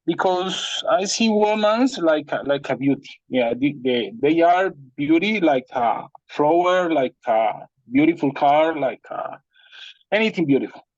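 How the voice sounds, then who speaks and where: A man with a Spanish accent, whose first language is Spanish, talks very slowly and haltingly, with many restarts as he searches for English words. His voice sits a little high and comes through a dull, muffled, dry call connection. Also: lively intonation, dry recording. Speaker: participant sharing a personal problem.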